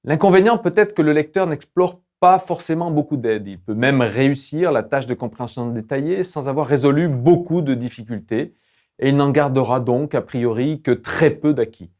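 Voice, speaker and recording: A male lecturer delivers scripted instructional narration in a mid-pitched voice. His manner is formal and didactic, with measured, academic phrasing. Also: a little mellow. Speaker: lecturer presenting language-learning tools.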